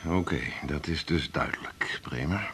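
A man's voice: a bass voice, normal speed, very noisy. A man acting in a 1980s Dutch radio drama as a brusque, authoritative doctor and mentor, curt and domineering, with a Netherlands Dutch accent. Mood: gruff, softening.

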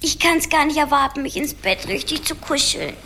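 A candid, plucky little girl, in a radio play. The voice is high and childlike, with faint background noise. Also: animated, moderate pace, sharp tone, full character voice. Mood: sleepy, pouting. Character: little girl, sleepy, naive, plucky younger sister. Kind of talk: German radio play acting.

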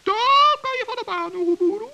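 A man playing a small, earnest, trusting forest gnome with a squeaky, creaky voice in a children's radio play. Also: expressive, brisk, bright, forceful tone, dull audio, heavily acted. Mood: willing, trusting. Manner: earnest, naive, polite.

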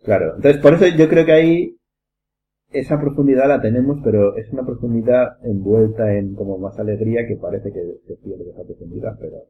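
A man's voice: on the low side, a little fast. A man with a Castilian Spanish accent explaining his opinions in a casual group discussion. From Spain. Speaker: podcast host. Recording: muffled audio with faint hum and noise.

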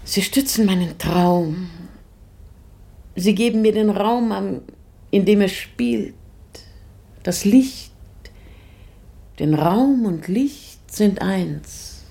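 Husky, dreamy lady. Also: medium pitch, extremely slow, long pauses, dark tone, exaggerated voice. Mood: dreamy, wistful. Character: dreamy, melancholy lady of the house. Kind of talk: poetic, slow radio drama acting.

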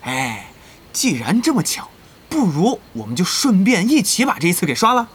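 Wheedling young male gamer, very animated. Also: high voice, moderate pace, slight background noise. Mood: coaxing, eager. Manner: chummy, expressive, bargaining, full of interjections. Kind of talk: Chinese audio drama acting.

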